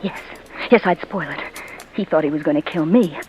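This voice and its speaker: A woman's voice: deep voice, normal speed, dull audio, noisy background, lightly acted. A woman in 1940s radio drama style, playing a frightened young wife who narrates her own descent into murder in breathless, stammering words. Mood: tense. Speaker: narrator and lead character. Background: music.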